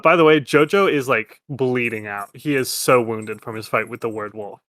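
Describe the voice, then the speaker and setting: A man's voice: medium pitch, normal speed, fairly bright tone, no echo, studio quality. A man acting as game master, narrating and talking casually through a tabletop roleplaying game.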